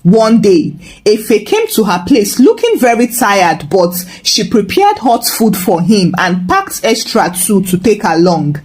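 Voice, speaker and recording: A woman with a Nigerian accent narrating a scripted folktale in an expressive, humorously dramatic way. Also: medium pitch, measured pace, few pauses. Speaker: storyteller, narrator.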